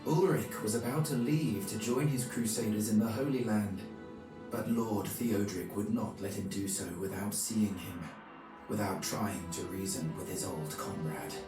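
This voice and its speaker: A man's voice: lowish voice, normal speed, lightly acted. An actor playing a loyal, respectful retainer who recounts his lord's quest in a video game. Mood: solemn narration. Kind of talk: storytelling narration and game cutscene acting. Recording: distant, echoey, music behind.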